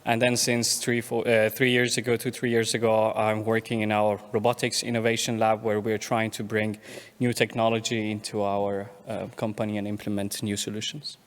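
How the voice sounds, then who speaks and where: A man's voice: on the low side, flat delivery, moderate pace. A man from Iran, introducing himself directly as a robotics engineer. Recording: long concert hall reverb.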